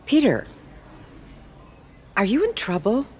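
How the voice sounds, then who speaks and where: Older aunt, gently prying, motherly, concerned, swooping pitch, faint noisy ambience, game cutscene. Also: wildly swooping pitch, slow, heavily acted. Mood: concerned, coaxing. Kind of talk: video game voice acting.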